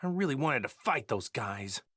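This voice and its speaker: A woman voicing a naive, cheerful, battle-hungry male martial arts hero in an anime video game dub, with a folksy, boyish, excitable manner. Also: extremely low pitch, moderate pace, breathy edge, no echo, clean studio sound, full character voice. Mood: disappointed, eager.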